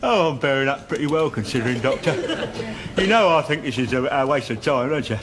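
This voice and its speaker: An actor playing a grumbling, officious, self-pitying boss in a sitcom. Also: male voice, medium pitch, lively intonation, brisk, noisy background, lightly acted. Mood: gloomy. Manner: officious, complaining. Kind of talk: broad sitcom acting.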